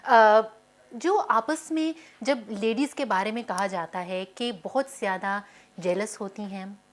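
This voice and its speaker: A woman's voice: mid-range voice, slow. A woman with a Pakistani accent hosting a conversational talk show. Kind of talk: conversational talk show hosting in Urdu with some English words.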